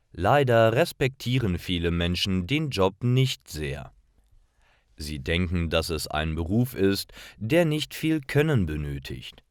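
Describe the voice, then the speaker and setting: A man reading scripted stories for language learners, narrating carefully in clearly separated phrases. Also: low voice, no echo, clean studio sound. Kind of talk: scripted narration, slow learner-friendly storytelling.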